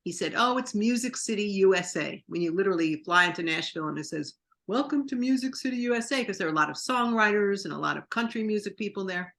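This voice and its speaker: A woman's voice: mid-range voice, expressive, quick pace, a bit bright. A woman from New York, a guest in an interview, telling long rambling anecdotes full of quoted dialogue. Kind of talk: interview storytelling.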